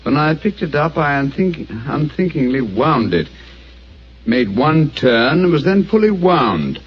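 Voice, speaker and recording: An actor playing a calm, methodical master detective who talks in a measured, explanatory way, on an old radio drama. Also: male voice, a little high. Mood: explaining. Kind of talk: 1940s radio acting. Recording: dull old radio broadcast with faint hiss.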